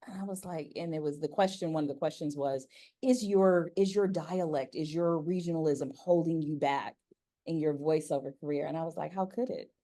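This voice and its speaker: An American woman with a low-pitched voice hosts a conversational interview. She speaks with emphasis and restates key phrases to drive them home. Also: a little fast, dark tone. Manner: emphatic, repeats phrases for effect. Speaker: interviewer and voice-acting community leader.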